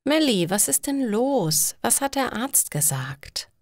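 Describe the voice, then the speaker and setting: A caring middle-aged mother, voiced by a woman narrator, gentle and practical. Her storybook lines are slow and encouraging, recorded dry and close. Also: a little high, big pitch swings, no room sound, voice pushed up. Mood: concerned. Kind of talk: acted dialogue in a slow learner's audio story.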